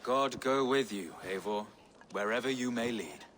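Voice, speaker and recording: An actor playing a sober medieval bishop in a video game, formal and measured. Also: male voice, medium pitch, a bit bright, a little hiss or hum, lightly acted. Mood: solemn, loyal. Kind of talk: dramatic video game acting.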